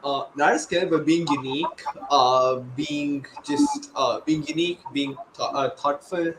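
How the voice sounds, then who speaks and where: A man's voice: on the high side, unhurried. A man giving casual, informal panel answers, often correcting himself. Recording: noisy online call.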